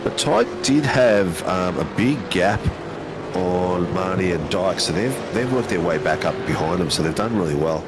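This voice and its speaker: A man's voice: low-pitched, expressive, moderate pace, very noisy. An Australian man commentating a sim race in a casual, matey way, with plenty of ums and uhs.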